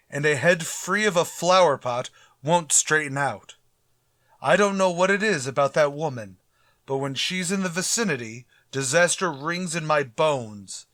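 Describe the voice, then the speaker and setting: An actor playing a pompous, grumbling middle-aged school principal in a radio comedy, formal and dryly sarcastic. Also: male voice, fairly high pitch, a bit bright, no room sound, clean studio sound. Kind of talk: old-time radio comedy acting.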